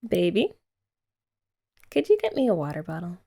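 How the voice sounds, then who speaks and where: A woman's voice: on the low side, wildly swooping pitch, normal speed, vocal fry, warm tone, heavily acted. A young woman voicing a playful, affectionate girlfriend in an ASMR roleplay, chatting casually with giggles and filler words.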